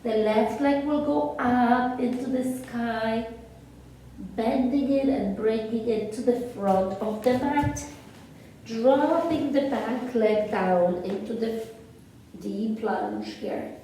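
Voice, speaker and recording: A woman with a Polish accent gives slow, soothing yoga cues with long pauses in a mellow, unhurried voice. She sits well back from the mic in a very echoey room, with a faint hiss underneath. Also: on the high side, far from the mic. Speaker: yoga instructor. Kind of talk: guided yoga class instruction.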